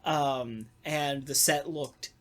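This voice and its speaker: A podcast host talking casually in an animated, sarcastic way, with some swearing. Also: mid-range voice, takes it slowly. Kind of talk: casual talkback chat.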